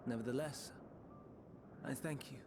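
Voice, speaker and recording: An actor playing a melancholy, idealistic scholar in a video game, speaking in measured, formal, archaic phrases. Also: male voice, medium pitch, steady tone, noisy background, natural voice. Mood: earnest, wistful. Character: soft-spoken melancholy male scholar, idealistic, quietly tormented. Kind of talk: dramatic video game voice acting.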